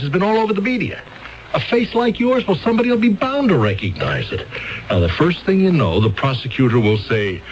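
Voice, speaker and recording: A man's voice: medium pitch, close to an everyday voice, rough recording. A man playing a brash, scheming defense lawyer. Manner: brash, wheedling, excitable. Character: fast-talking ambitious male defense lawyer. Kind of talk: 1970s radio drama acting.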